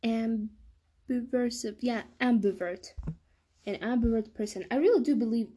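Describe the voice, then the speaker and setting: A woman's voice: a little high, moderate pace, dark tone, slightly rough. A young woman talking casually in a solo podcast monologue, hesitant and often stumbling and rephrasing. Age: young adult. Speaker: podcast host.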